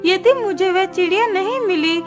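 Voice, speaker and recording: A woman's voice: very high voice, moderate pace, music in the background. A woman voicing a young, spoiled and demanding princess in a cartoon story. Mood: stubborn. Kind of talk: children's cartoon story voice acting.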